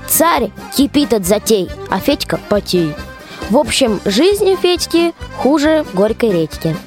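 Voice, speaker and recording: A woman's voice: on the high side, very animated, unhurried, music in the background. A woman narrating a fairy tale in folksy rhymed verse for a radio play.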